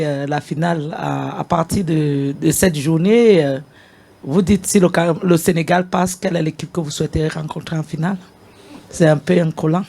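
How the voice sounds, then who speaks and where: A man with a gravelly, husky voice asking a question as a television journalist at a press conference, restarting his phrases. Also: fairly high pitch, darkish tone.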